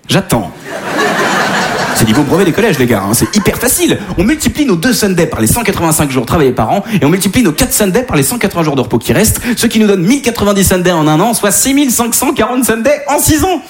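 A man's voice: sits high, sing-song, fast, background noise. Guy doing stand-up, fake nature-documentary narration, voice swings up and down a lot. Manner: mock-documentary narration, mock-lecturing. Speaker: stand-up comedian. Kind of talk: stand-up comedy routine.

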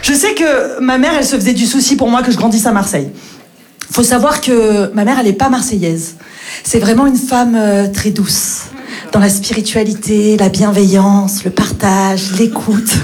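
A woman's voice: mid-range voice, normal speed, darkish tone. A young woman telling stand-up stories and mimicking her parents. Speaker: stand-up comedian. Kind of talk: stand-up comedy set. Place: comedy club stage.

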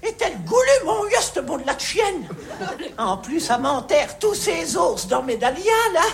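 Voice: extremely high pitch, big pitch swings, a little slow, vocal fry, faint music behind, full character voice. A performer playing a fussy old country mother who nags and scolds her grown son in a comedy radio sketch. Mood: nagging. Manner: nagging, gossipy, bursts into shouts. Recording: noisy broadcast recording.

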